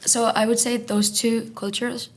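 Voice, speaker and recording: A woman's voice: fairly high pitch, flat delivery, a little slow. A woman from Finland chatting as a podcast guest, rambling with lots of fillers and restarts. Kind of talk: casual chat.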